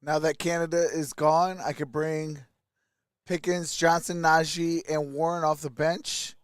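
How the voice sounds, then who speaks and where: High-pitched man hosting a sports livestream. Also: little pitch movement, measured pace, smooth, no echo, studio quality. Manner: casual, reads viewer comments aloud. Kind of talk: live reaction play-by-play with chat replies.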